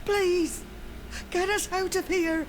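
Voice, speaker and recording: A woman's voice: very high voice, fairly even intonation, slight background noise. A woman playing a pleading, mistreated prisoner in a video game. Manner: slow, pleading. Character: pleading captive woman, abused by experimenting scientists.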